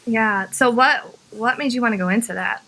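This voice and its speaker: A woman's voice: fairly high pitch, normal speed, sharp tone, low-quality recording. A woman co-hosting a faith podcast, asking a guest questions in an encouraging, affirming way. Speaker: podcast co-host. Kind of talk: casual interview chat.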